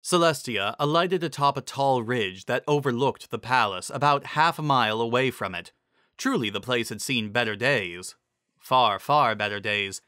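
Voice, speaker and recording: A man's voice: mid-range voice, animated, normal speed, pushed and bright, clear, resonant tone, no room sound, studio quality. A man giving a dramatic reading of a scripted fantasy story as its narrator.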